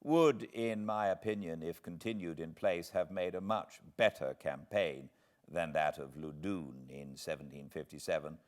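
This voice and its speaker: A man with a British accent reading a classic book aloud as an expressive audiobook narrator. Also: very deep voice, unhurried. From Britain.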